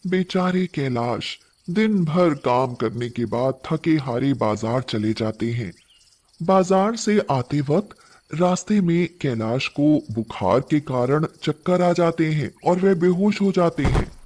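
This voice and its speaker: A man's voice: on the high side, expressive, takes it slowly, darkish tone. A man narrating a scripted moral story as its storyteller.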